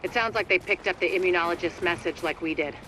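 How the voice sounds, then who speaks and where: An actor playing a businesslike military radio dispatcher in a video game, giving clipped instructions. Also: male voice, high voice, fast talker, sharp tone, a little hiss or hum, low-quality recording.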